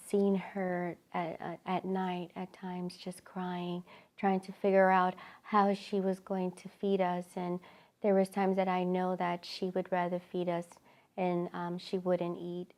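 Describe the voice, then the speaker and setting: A middle-aged woman from El Salvador recounts her childhood in a low, gravelly voice. She speaks in a halting, reflective way, pausing often and filling gaps with soft hesitations, and her pitch stays flat. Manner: halting, reflective, many pauses and fillers. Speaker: ministry founder. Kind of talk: personal testimony.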